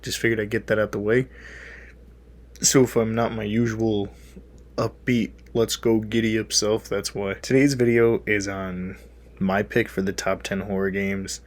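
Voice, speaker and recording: A young man hosting his own gaming channel, audibly sick and worn out, with a sniffly, slightly lower voice. He rambles casually and pokes fun at himself, trailing off with fillers as he goes. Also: level tone, measured pace. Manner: rambling, self-deprecating, frequent fillers. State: tired. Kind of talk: casual gaming list commentary.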